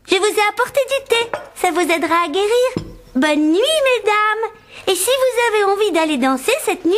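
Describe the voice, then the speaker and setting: A woman voicing a sweet, childlike little girl in a French animated cartoon, with expressive delivery. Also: extremely high pitch, exaggerated voice.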